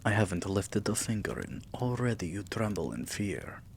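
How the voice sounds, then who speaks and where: A man playing a possessive, tender yet menacing vampire king in an intimate ASMR roleplay, murmuring softly and dipping into whispers. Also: lowish voice, big pitch swings, slight background noise. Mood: concerned, teasing. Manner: soft, slow, breathy murmurs dipping into whispers.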